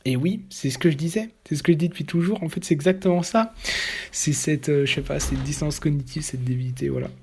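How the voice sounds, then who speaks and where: A man giving casual, blunt, opinionated commentary, talking haltingly with many fillers and restarts. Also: a little high, darkish tone, slight background noise.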